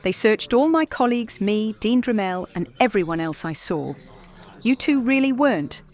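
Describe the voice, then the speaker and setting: Woman playing animated, concerned academic. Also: a little high, moderate pace, lightly acted. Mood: concerned, surprised. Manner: questioning, animated. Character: concerned female academic colleague, inquisitive.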